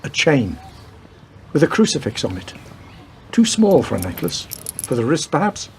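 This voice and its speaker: A weary, wry police detective acted by a man in a radio drama. He talks dryly and deliberately in a slightly raised register. The recording has faint background noise and an amateur feel. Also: on the high side, big pitch swings, creaky, a little mellow. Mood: focused. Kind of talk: radio play acting.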